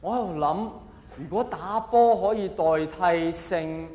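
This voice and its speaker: A man's voice: high-pitched, a little slow, dark tone. A middle-aged man with a Hong Kong accent performing a theatrical, mock-earnest stand-up comedy monologue. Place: large hall, live stage performance. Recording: echoey hall reverb, faint background noise.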